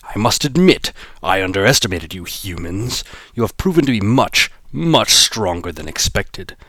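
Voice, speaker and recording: A man's voice: on the low side, sing-song, moderate pace, bright, forceful tone, no echo, studio quality, heavily acted. Man voicing a gloating alien villain. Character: boastful alien villain, menacing and grand.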